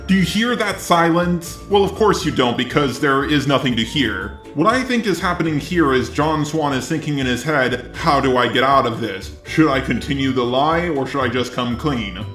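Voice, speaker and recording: A synthetic male robot voice narrates scripted video commentary at a middle pitch. It sits in an echoey space, with music playing behind it. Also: expressive, normal speed, hardly any pauses, a bit bright.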